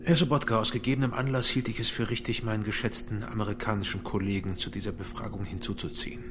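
An actor playing a condescending intelligence interrogator in a radio crime drama, sardonic and coolly formal. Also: male voice, fairly low pitch, fairly even intonation, quick pace, background noise, close to an everyday voice. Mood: coolly official.

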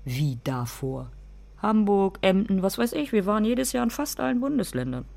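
A woman's voice: mid-range voice, sing-song, normal speed, creaky, a little mellow. A woman playing a brusque, sarcastic, proud mother in a radio play. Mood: guarded, distracted. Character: stern, sarcastic, proud mother of two daughters.

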